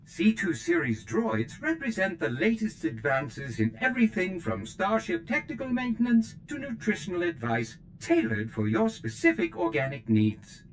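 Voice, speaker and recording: A fussy, servile steward droid. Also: male voice, high voice, huge pitch swings, moderate pace, few pauses, a little hiss or hum, low-quality recording. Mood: eager servile. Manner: obsequious, formal and chirpy. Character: fussy male steward droid, eager to serve. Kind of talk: video game voice acting.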